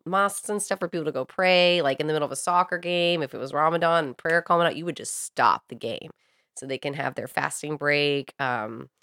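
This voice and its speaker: A woman's voice: low voice, a little fast, fairly bright tone, no echo, clean studio sound. An American woman telling personal stories in a casual, candid, informal way, with some swearing. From Saudi Arabia, raised by a US family on a compound. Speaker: podcast co-host. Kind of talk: casual chat, personal storytelling.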